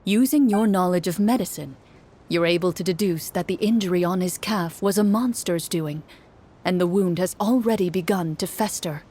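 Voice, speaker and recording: A woman playing a composed game master who narrates a fantasy story in a measured storytelling manner. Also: fairly low pitch, warm tone, natural voice. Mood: measured, grave.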